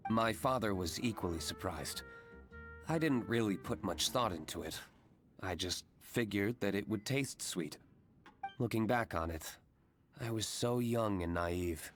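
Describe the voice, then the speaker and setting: An actor playing a composed, courteous young gentleman tavern owner in a video game, speaking in a measured, understated way. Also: on the low side, expressive, soft background music. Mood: reflective. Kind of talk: game character acting.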